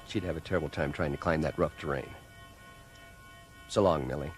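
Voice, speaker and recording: An actor in a science fiction audio drama playing a resolute, practical man leading an escape, brisk and commanding. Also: extremely low pitch, fairly even intonation, faint music behind. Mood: urgent, firm.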